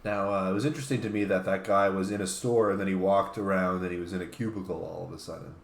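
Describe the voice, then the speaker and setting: A man's voice: sits low, fairly even intonation, fast talker, vocal fry, slight background noise. A man co-hosting a casual panel chat. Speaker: talk show co-host. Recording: echoey room.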